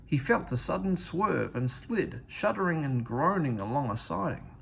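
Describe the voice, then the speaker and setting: Animated man reading a children's storybook. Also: fairly high pitch, moderate pace, gravelly, slight background noise. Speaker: storybook reader. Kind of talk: expressive scripted children's story narration.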